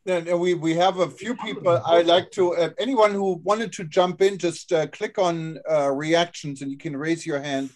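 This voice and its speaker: Slightly high-voiced man hosting a call. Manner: halting, introduces guests with fillers. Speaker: host of online meeting.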